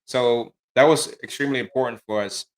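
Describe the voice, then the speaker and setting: A man's voice: mid-range voice, steady tone, brisk. A man hosting a podcast interview, talking casually and in a friendly way, with plenty of loose fillers. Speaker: podcast host. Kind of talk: conversational interview.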